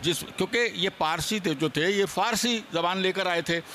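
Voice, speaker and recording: A man's voice: sits high, moderate pace, sharp tone, slight background noise. A man speaking as a seminar panelist, explaining history in an insistent, point-by-point way. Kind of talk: animated explanatory panel talk on theatre history. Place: seminar stage at a live event.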